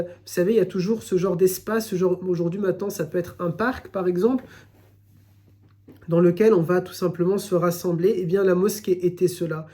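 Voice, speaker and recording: A man giving a recorded religious lesson, explaining in a measured way and often repeating his phrases. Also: sits high, mellow. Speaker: Islamic teacher.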